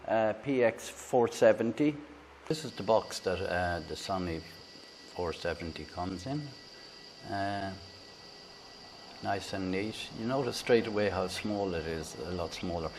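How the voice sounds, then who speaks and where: A man with an Irish accent, hosting a casual product review on a lavalier mic, talking in a halting way with many fillers and restarts. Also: on the low side, darkish tone, a little hiss or hum. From Ireland. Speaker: tech reviewer, channel host. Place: indoors in a room with a fan, then outdoors by a busy road.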